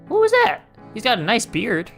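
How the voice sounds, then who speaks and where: A man's voice: very high-pitched, huge pitch swings, normal speed, gravelly, a bit bright. A man livestreaming a game with casual, joking commentary, reacting off the cuff. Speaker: streamer. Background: game music.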